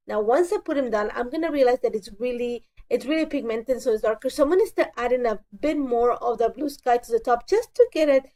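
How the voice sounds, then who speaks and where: Woman, stuffy with a cold, mellow higher voice, casual craft voice-over, chatty and self-correcting. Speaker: scrapbooking crafter.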